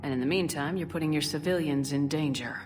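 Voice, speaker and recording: An actress playing a steady, authoritative female military commander in a sci-fi video game, terse and composed. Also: low-pitched, brisk, faint music behind, close to an everyday voice. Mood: stern. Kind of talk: video game dialogue acting.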